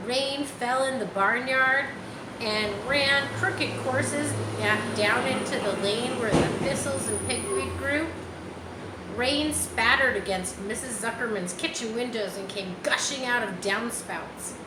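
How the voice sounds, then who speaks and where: A woman reading a children's story aloud in an unhurried, explanatory manner, with asides spoken directly to listeners. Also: fairly high pitch. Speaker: storybook reader. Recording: roomy echo, loud vehicle-like background noise.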